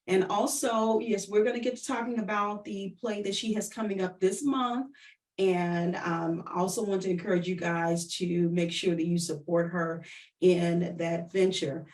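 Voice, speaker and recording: A woman's voice: on the low side, steady tone, normal speed, a little mellow, distant mic. A woman hosting a casual livestream interview, chatting conversationally and addressing viewers directly, often repeating her phrases. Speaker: online show host and interviewer.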